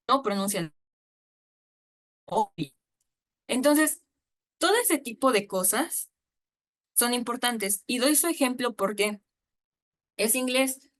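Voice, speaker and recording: A woman's voice: medium pitch, fairly bright tone. Chatty woman giving a talk. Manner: chatty, with frequent fillers and asides. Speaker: lecturer. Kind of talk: informal online talk on storytelling.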